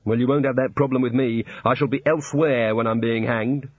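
A man's voice: medium pitch, fairly even intonation, fairly quick, dry recording, narrow, tinny audio, natural voice. A man voicing a gloomy, sardonic wizard in prison.

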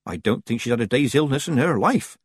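A man's voice: medium pitch, lively intonation, a little fast, slightly rough, dry recording, studio quality, voice pushed up. A man voicing a fussy, skinny village woman who scolds and sniffs with disapproval. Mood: disapproving.

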